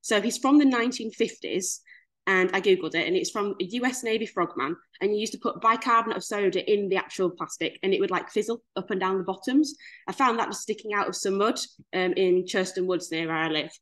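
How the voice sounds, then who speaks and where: A woman's voice: mid-range voice, a little fast. Chatty young Yorkshire woman on a video call. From Leeds, Yorkshire, England. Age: 20s. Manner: chatty, lively, self-deprecating humour. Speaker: guest speaker. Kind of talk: casual webinar presentation.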